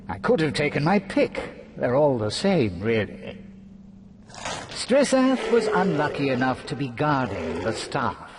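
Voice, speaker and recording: An actor playing a gleeful, taunting trickster villain in a video game, with mocking, theatrical relish. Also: male voice, medium pitch, wildly swooping pitch, measured pace, crowd noise. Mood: sardonic delight. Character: gleeful, taunting male trickster schemer holding court.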